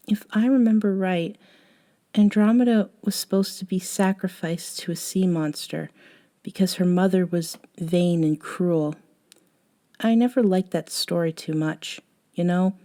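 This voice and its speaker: A woman acting as a gentle, caring young woman in an intimate ASMR audio drama. Her voice is dark and mellow, and she talks slowly and hesitantly. She often softens into a breathy whisper, with a tender, slightly wistful temper. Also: fairly low pitch. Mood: soft, thoughtful. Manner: soft, hesitant, often sighing and dropping to a whisper.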